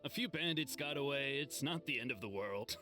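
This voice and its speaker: A man's voice: medium pitch, animated, moderate pace, close to an everyday voice. A man playing a carefree, oddly unbothered fellow in a video game. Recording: music behind.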